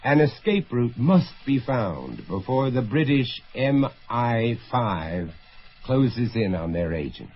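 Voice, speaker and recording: A man's voice: medium pitch, sing-song, slow pace. A man delivering dramatic scripted narration for a radio drama. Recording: muffled lo-fi radio broadcast.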